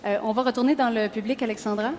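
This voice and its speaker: A woman's voice: fairly high pitch, steady tone, darkish tone. Woman moderating a panel in a hall. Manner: brisk, polite, fills in with short acknowledgements. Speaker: forum moderator. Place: public forum in a college hall. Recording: reverberant hall, faint room noise.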